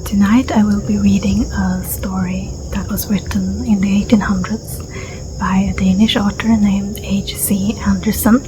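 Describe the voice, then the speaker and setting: Soft, half-whispering Swedish-accented woman, slow. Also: medium pitch, flat delivery. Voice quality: soft, breathy, often dropping into a whisper. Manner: gentle, unhurried, soothing. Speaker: ASMR storyteller and host. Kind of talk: soft-spoken ASMR story reading. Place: outdoors in a garden. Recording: clear outdoor ambience with insect noise. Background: crickets and insects, garden ambience.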